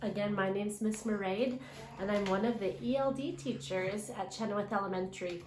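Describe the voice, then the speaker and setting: A woman teacher leading a read-aloud lesson for young children, asking questions and pausing to affirm their answers. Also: mid-range voice, a little mellow. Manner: interactive, asks questions and affirms imagined answers. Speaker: elementary English language development teacher. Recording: roomy, echoing sound.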